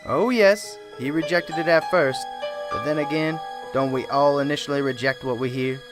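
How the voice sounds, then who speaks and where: Sinister church leader, played by a man, smug and measured, over eerie music. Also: a little high, close to an everyday voice. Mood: smug menacing. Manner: smug, measured, quietly menacing. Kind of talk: amateur radio drama acting.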